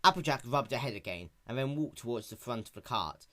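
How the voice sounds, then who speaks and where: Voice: medium pitch, expressive, brisk, sharp tone. A narrator giving a dramatic reading of a fan fiction story. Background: background music.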